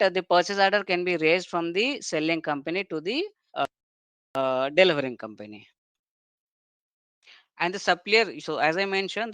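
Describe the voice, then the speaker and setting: A software trainer giving a step-by-step technical lecture in an explanatory way, often punctuating points with short checking tags. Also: medium pitch, no echo.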